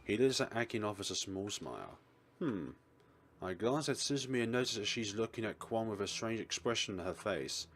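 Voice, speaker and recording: A man's voice: lowish voice, lively intonation, brisk, a little hiss or hum. A man hosting a let's play, reading visual novel text aloud with casual commentary.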